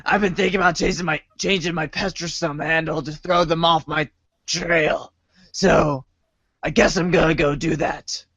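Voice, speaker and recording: A man playing an excitable, exasperated, foul-mouthed boy with theatrical delivery in an amateur comedic readers' theater. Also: fairly high pitch, no echo, dull audio. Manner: theatrical, prone to drawn-out wails.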